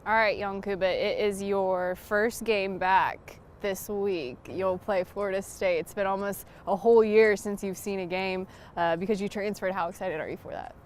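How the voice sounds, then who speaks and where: A woman's voice: medium pitch, fairly even intonation, moderate pace, hardly any pauses. A young woman reporting from the sidelines, asking friendly, chatty questions in a mid-range voice. A faint hiss sits under her voice. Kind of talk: interviewing players and coaches.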